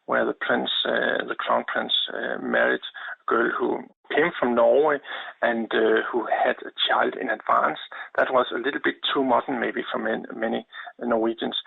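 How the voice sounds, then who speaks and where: A man with a Danish accent explaining things as an expert radio guest, deliberate and hesitant, often rephrasing, over a narrow phone-like line. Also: medium pitch, vocal fry, husky. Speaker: expert guest, history lecturer.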